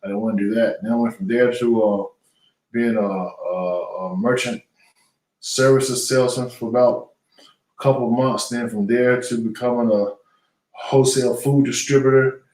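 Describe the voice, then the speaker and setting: A man's voice: mid-range voice, steady tone, normal speed, darkish tone. Earnest man, echoey and off-mic. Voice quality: plain, earnest, with many fillers and restarts. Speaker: guest, retired boxer turned businessman. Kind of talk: conversational interview. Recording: echoey room audio, voice from far off the mic.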